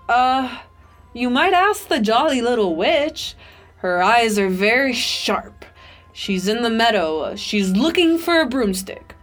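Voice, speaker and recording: Woman as tiny lantern man, friendly, chatty, lively up-and-down voice, storybook. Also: fairly high pitch. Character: cheerful little male lantern sprite. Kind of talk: storybook reading.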